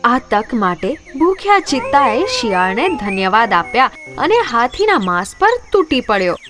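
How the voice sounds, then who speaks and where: A woman narrating scripted moral stories for children. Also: high-pitched.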